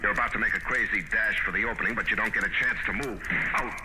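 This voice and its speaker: A man's voice: fairly low pitch, fairly even intonation, fast, very noisy, natural voice. A man playing a cynical, tough private detective in 1950s radio noir style, terse, wry and world-weary. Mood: wary, curt. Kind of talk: 1950s radio noir acting and second-person narration. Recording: old radio recording with music.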